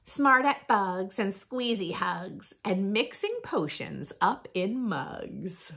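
A woman in her thirties reading aloud expressively for young children, with playful emphasis and deliberate phrasing. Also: mid-range voice, lively intonation, creaky, fairly bright tone, breathy edge. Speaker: host of a children's read-aloud channel. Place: small furnished room indoors.

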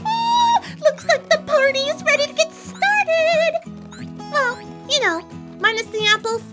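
A hyper, bubbly pink pony girl voiced by a woman. Her voice sits extremely high and bounces all over the place. She chatters along giddily. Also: measured pace, soft background music, exaggerated voice. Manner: excitable, giddy, chattering.

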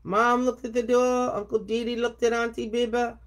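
An older woman tells a picture-book story aloud in a somewhat high voice. Her delivery is expressive and deliberate, stressing key phrases as a storyteller does for children. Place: home office room indoors. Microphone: webcam at desk distance.

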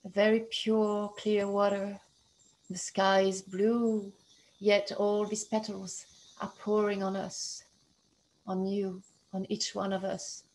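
A woman guiding a meditation in a slow, soothing, hushed and even voice. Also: medium pitch, a little hiss or hum, rough recording. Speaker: meditation guide. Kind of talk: guided meditation.

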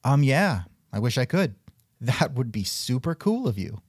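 Sardonic male comedy host, animated intonation, dry close mic. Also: mid-range voice, normal speed, slightly rough, no room sound. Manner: sardonic, exasperated. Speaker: podcast host. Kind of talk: scripted comedic interview.

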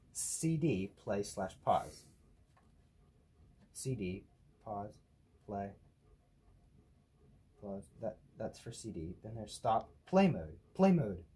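Mellow young man, puzzled muttering. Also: on the low side. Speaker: participant trying out old technology. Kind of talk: casual thinking aloud. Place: small cluttered room at a desk indoors. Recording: clear background noise with clicks.

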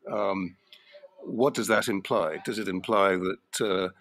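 A man's voice: low-pitched, a little slow, fairly bright tone. A man speaking as a guest on a panel podcast, thoughtful and hesitant with fillers. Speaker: journalist guest.

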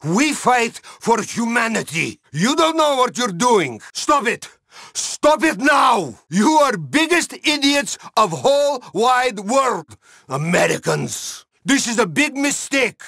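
An actor playing a blustering Russian submarine commander in a video game, with a Russian accent, speaking emphatic, repetitive broken English. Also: male voice, very high voice, big pitch swings, slow pace, creaky, bright, forceful tone, no room sound, clean studio sound, full character voice. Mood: angry.

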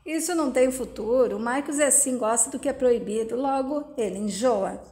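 An easygoing middle-aged rancher, calm and reassuring, read by a woman in her own high voice. He talks plainly and affectionately. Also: normal speed, lightly acted. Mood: relaxed.

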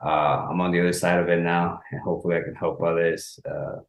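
A young man with a very low voice, talking earnestly and softly as an interview guest. He grew up speaking Spanish. The sound bounces around the room on a webcam call. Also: little pitch movement, fast talker. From El Salvador. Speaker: guest, author. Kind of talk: casual interview answers. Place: dark room, indoors, on a video call. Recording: roomy echo.

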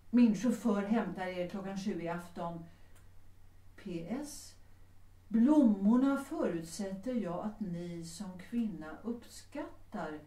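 A woman's voice: medium pitch, dark tone, close to an everyday voice. A woman playing a proud, resolute middle-aged widowed mother in a 1930s audio drama, composed, formal and commanding. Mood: composed, firm. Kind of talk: Swedish audio drama acting. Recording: roomy, distant from the mic.

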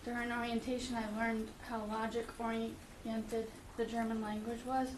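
A middle-aged woman reading a letter aloud at a school meeting, steady and careful, with occasional fillers. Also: a little high, normal speed. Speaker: parent speaking at public comment. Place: meeting room with audience. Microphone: far room camera. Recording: echoey room, distant camera, background noise.

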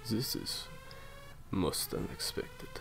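A man's voice: very high voice, wildly swooping pitch, slow pace, background noise, heavily acted. A man playing a menacing, cold villain in an audio roleplay, speaking in formal, theatrically polite threats. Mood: smug, courteous. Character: menacing, courteous male villain, cold captor. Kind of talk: roleplay audio drama monologue.

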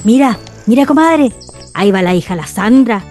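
A chatty Chilean shopkeeper played by an actress in a radio play. Her voice sits fairly high, familiar and gossipy, quick to smooth things over. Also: animated, darkish tone, soft background music, lightly acted. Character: gossipy but placating neighborhood shopkeeper woman. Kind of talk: radio drama acting.

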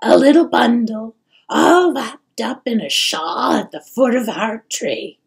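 A husky, fretful troll mother from a fantasy storybook, acted out by a woman narrator. She speaks slowly and emphatically, fussing and grieving over her child with tearful, loving weight. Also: mid-range voice, big pitch swings, exaggerated voice. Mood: sad, tender.

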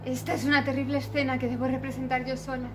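A woman's voice: high voice, flat delivery, fast talker, music in the background, lightly acted. A woman playing a frightened young noblewoman in a declamatory classical stage monologue, full of dramatic questioning. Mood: fearful, hesitant. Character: frightened young noblewoman agonizing over dark fears. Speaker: amateur stage actress.

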